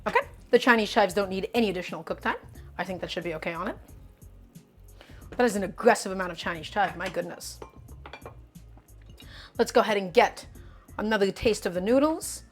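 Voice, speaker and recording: A woman's voice: mid-range voice, fairly quick, long pauses, faint music behind. A young woman hosting a live cooking stream. She talks to her viewers in a chatty, upbeat way, often calling them "guys" and hyping them up. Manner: upbeat, hypes up the audience.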